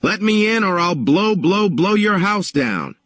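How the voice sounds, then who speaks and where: A man's voice: high-pitched, big pitch swings, a little slow, fairly bright tone, heavily acted. A man voicing a boastful, menacing, hungry big bad wolf in a children's story, taunting with repeated threats.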